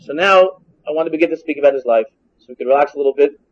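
High-voiced Hungarian-born man teaching Torah. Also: very fast. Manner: translates Hebrew phrase by phrase, repeats and restarts. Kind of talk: text-based Torah lesson. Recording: dull lo-fi recording.

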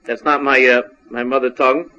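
A man's voice: medium pitch, flat delivery, fairly quick, bright, forceful tone. A man whose first language is Hungarian teaches Torah from a text, reading Hebrew phrases and translating them one by one, often repeating and restarting. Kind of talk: text-based Torah lesson. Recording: dull lo-fi recording.

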